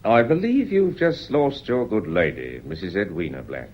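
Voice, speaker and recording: An actor playing a mild, deferential but quietly persistent British caller in a radio drama, polite and hesitant. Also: male voice, fairly low pitch, expressive, normal speed, close to an everyday voice. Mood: delicate. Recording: dull, noisy old radio recording.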